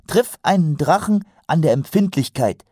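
A man's voice: a little high, animated, moderate pace, warm tone, no room sound, studio quality, voice pushed up. A man voicing an earnest, naive and eager young watch recruit in an audiobook, with a matter-of-fact manner. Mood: earnest, confident. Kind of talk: audiobook character voice.

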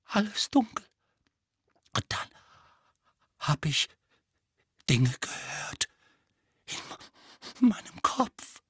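A man's voice: extremely high pitch, steady tone, slow, long pauses, a bit bright, dry recording, studio quality, pitched above the performer's own voice, exaggerated voice. A man voicing a frightened, pampered teenage boy who whispers and stammers shakily. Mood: shaken. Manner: shaky, breathy whisper, stammering.

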